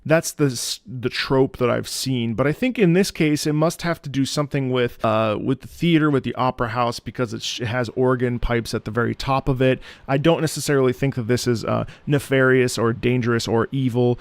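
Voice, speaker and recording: A man who is an opera singer giving reaction commentary on game music, halting and thoughtful as he searches for words. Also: mid-range voice, clear, resonant tone, no echo. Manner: breaks into sung demonstrations of musical phrases.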